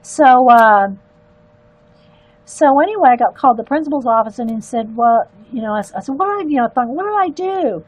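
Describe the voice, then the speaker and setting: Loud chatty older woman. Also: on the high side, animated, fairly quick, dry recording, poor audio. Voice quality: loud, carrying voice. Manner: rambling, chatty asides to viewers. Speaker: livestream artist. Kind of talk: casual chat while coloring.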